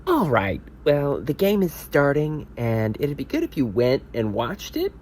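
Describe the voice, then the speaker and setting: A man playing a polite support group leader who moderates with halting fillers and hesitations. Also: medium pitch, huge pitch swings, darkish tone, a little hiss or hum. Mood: polite puzzlement. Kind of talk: amateur audio play acting.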